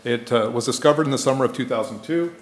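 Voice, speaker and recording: An echoey indoor recording of a middle-aged man presenting at a conference. He speaks at an ordinary male pitch into a lectern microphone. His delivery is explanatory and informal, with pauses as he searches for the next phrase. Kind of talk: public lecture with slides. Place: indoor lecture room. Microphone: gooseneck lectern microphone. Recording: echoey room sound.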